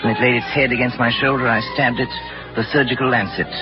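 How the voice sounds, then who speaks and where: A man's voice: mid-range voice, steady tone, fast, natural voice. An actor playing an obsessed, arrogant man of science in 1940s radio style, with precise, intense, dramatic diction. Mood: grave, cold. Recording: dull 1940s radio recording with music.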